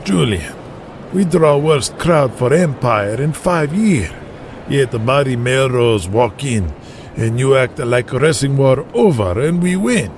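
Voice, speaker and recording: Blunt wrestler, animated delivery. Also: male voice, medium pitch, slow, slight background noise. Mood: exasperated. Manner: broken English, dropped articles, blunt. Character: blunt, exasperated foreign wrestler, right-hand man. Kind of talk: dramatic audio drama acting.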